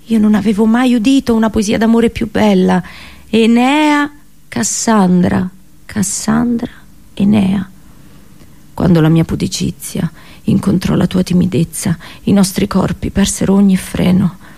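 An actress playing an anguished, passionate captive princess and seer in an intimate, poetic radio monologue. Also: female voice, mid-range voice, a little slow, vocal fry, dark tone. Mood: tender, longing. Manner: intimate, poetic, intense delivery.

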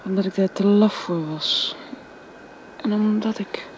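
An actress playing a young woman torn between love and faith, speaking softly and hesitantly. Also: mid-range voice, unhurried, slight background noise, lightly acted. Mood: hushed. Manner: soft, hesitant.

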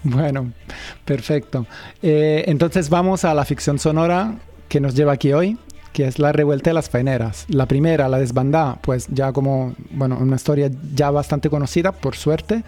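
A man's voice: fairly high pitch, moderate pace, dark tone, faint music behind. A man presenting a radio show and interviewing in a conversational style.

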